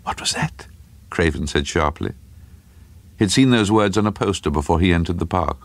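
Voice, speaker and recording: A man with a very low, creaky voice reads fiction as a dramatic narrator. The sound is dry and close, with no room around the words. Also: moderate pace, no echo. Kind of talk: dramatic literary story narration.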